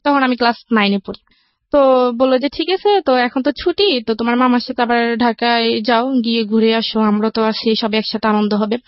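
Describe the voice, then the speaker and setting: Higher-pitched young Bangladeshi woman, dull dry recording. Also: steady tone, fairly quick, no echo. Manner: recounts in detail with reported dialogue. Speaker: radio show guest telling their life story. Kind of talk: personal storytelling in casual conversation. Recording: dull, lo-fi broadcast audio.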